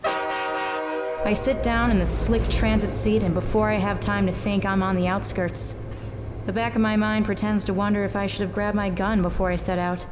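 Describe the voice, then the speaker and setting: A woman playing a hard-boiled, world-weary private eye in a noir audio drama, terse, wry and deadpan. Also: mid-range voice, moderate pace, music in the background, close to an everyday voice. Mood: brooding, determined.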